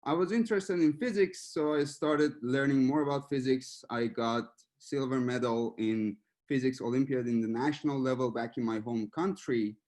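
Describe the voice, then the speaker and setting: Iranian-born man giving a relaxed webinar talk. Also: mid-range voice, normal speed. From Iran. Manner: relaxed, searching for words, with small laughs. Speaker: machine learning researcher giving a webinar.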